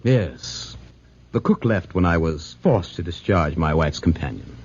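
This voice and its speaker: A deep, imperious master of the house. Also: male voice, expressive, unhurried, a little mellow. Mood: cold, curt. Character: cold, commanding wealthy husband, master of the house. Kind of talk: 1940s radio drama acting. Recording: muffled, noisy 1940s radio recording.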